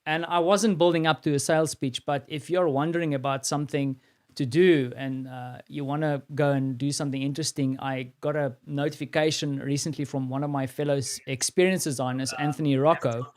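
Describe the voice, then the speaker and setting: A man's voice: fairly high pitch, steady tone, brisk. A man with a South African accent hosting a live stream, talking casually and rambling with many fillers and restarts.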